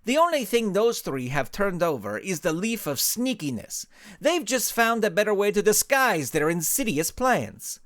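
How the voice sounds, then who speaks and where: Masked hero leader for audio storybook, played by a male narrator: pompous, scornful, lively swooping delivery. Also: high-pitched, normal speed, no room sound, studio quality, pitched above the performer's own voice. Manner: pompous, declamatory.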